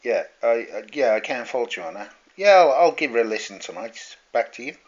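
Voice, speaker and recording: A man's voice: medium pitch, big pitch swings, fairly quick. A man in a casual chat as an amateur radio operator, heard over a two-way radio. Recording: dull, lo-fi two-way radio audio.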